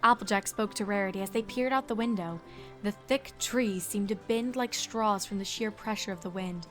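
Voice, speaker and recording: A female narrator reads a scripted story in a medium-pitched voice. Music plays underneath her narration. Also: moderate pace, a bit bright.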